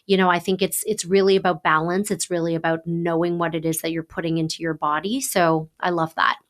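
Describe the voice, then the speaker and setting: Low-pitched affirming woman podcast host. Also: little pitch movement, fairly quick. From Taiwan. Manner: affirming, reflective, sums up the guest's points. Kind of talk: podcast interview, casual conversation.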